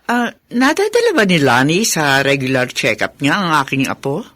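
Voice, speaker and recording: A woman's voice: low voice, very animated, normal speed, fairly bright tone, dry recording, full character voice. An actress playing an exasperated but caring older mother and grandmother in a Filipino radio drama. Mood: concerned.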